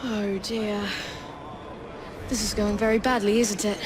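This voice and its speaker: A woman's voice: medium pitch, flat delivery, measured pace, background noise, close to an everyday voice. A woman voicing an earnest, precocious little Victorian boy who speaks precisely in a video game cutscene. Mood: eager. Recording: music behind the dialogue.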